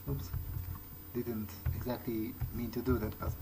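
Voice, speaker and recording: A man's voice: mid-range voice, flat delivery, a little slow, background noise. A man playing a video game in character, commenting in a hesitant, understated way as if musing to himself. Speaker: let's play host.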